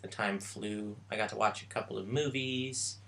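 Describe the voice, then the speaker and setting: A young man recounting his trip in a casual vlog, slow and halting with many pauses. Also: fairly low pitch, steady tone, fairly bright tone. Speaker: vlogger. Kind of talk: casual travel vlog chat.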